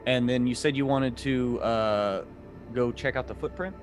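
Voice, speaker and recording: A man running a tabletop game, improvising descriptions in a halting, conversational, explanatory way. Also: mid-range voice, fairly even intonation, faint music behind. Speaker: game master.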